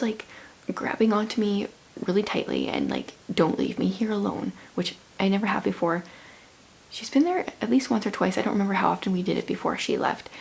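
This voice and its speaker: A woman from the Canadian prairies giving a rambling, casual vlog update, her voice hushed and breathy at times. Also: medium pitch, poor audio. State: sore throat, losing her voice. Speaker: vlogger. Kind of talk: casual chat.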